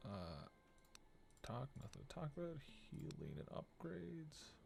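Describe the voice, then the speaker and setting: Low-key man with heavy vocal fry. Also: big pitch swings, unhurried, darkish tone, faint music behind. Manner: low-key muttering, reading card text aloud. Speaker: game streamer. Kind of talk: casual let's play commentary, thinking aloud.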